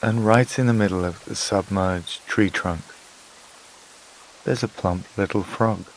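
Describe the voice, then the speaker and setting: A man narrating a scripted sleep meditation in a calm, soothing, unhurried way, with long pauses between phrases. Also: on the low side, a little hiss or hum, low-quality recording. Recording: water and rain sounds behind voice. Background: flowing stream, rain-like ambience.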